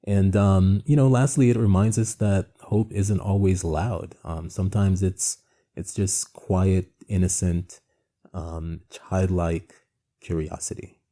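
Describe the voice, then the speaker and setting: A man's voice: deep voice, steady tone, takes it slowly, warm tone. A man from Jamaica hosting an art presentation, talking in a conversational, reflective and earnest way with frequent asides. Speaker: art show host and founder.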